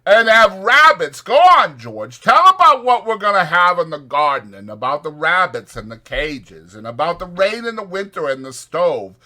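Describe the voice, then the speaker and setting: A man voicing a big, simple-minded, childlike ranch drifter who speaks slowly and haltingly, eager and pleading, in an audiobook. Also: high-pitched, big pitch swings, pushed and bright, pitched above the performer's own voice, full character voice. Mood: excited pleading. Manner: slow, halting, emphatic speech.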